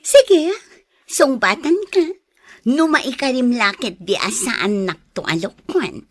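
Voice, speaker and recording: A coy, teasing young Ilocano woman being courted in a radio drama. Her high voice is husky-edged and unhurried, lingering over her words. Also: lively intonation. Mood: tender. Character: coy young sweetheart, playful and hesitant. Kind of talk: melodramatic radio drama acting.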